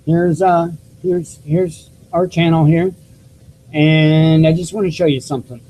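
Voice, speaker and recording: A man with a squeaky voice hosting his own YouTube news channel, talking casually to viewers through a cheap desktop microphone with many restarts and fillers. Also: fairly even intonation, measured pace, mellow, a little hiss or hum, low-quality recording. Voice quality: squeaky voice, self-described. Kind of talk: casual vlog talk to viewers.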